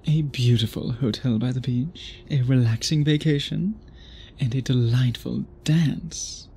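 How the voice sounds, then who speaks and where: A man playing an obsessive, courtly vampire suitor in an intimate ASMR roleplay, breathy and husky, with formal old-fashioned phrasing. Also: medium pitch, a little slow, mellow, a little hiss or hum. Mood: courtly, enticing. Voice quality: breathy, husky, often whispery.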